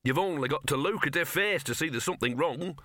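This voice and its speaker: A scruffy little storybook dog, played by a man, grumbling and full of bluster. The voice is growly yet bright and forceful, in a dry recording. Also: a little high, lively intonation, fast, no room sound, pitched above the performer's own voice. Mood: worried. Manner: blustering, colloquial.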